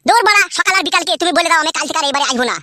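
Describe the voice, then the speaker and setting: A woman's voice: very high voice, animated, very fast, sharp tone, a little hiss or hum, full character voice. A woman voicing a hot-headed, complaining married village son in a comic cartoon. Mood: aggrieved. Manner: ranting, complaining to his father.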